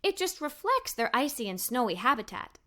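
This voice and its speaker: Animated high-pitched woman reading a children's story. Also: a little fast. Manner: animated, expressive. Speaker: storybook narrator. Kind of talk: scripted children's story read-aloud.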